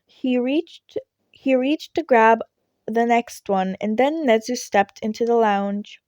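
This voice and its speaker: A woman's voice: on the high side, slow pace, darkish tone, no room sound, dull audio. A woman reading a scripted story as an amateur narrator.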